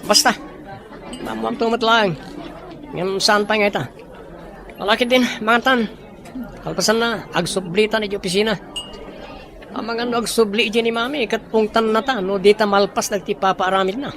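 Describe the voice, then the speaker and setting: Male actor as plotting rival, smug, high-pitched, audio drama, noisy crowded background. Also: moderate pace, pauses often, a bit bright, some chatter behind. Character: scheming, smug man plotting against his rival. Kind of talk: Ilocano audio drama acting.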